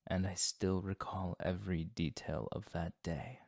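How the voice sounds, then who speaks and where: Guy doing a sad solemn vampire asmr, really deep voice, slow and measured, fighting bloodlust. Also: steady tone, gravelly, dry recording, clean studio sound, exaggerated voice. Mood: haunted, grieving. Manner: solemn, formal, measured, hushed. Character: brooding, remorseful male vampire fighting his bloodlust. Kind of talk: intimate ASMR roleplay monologue.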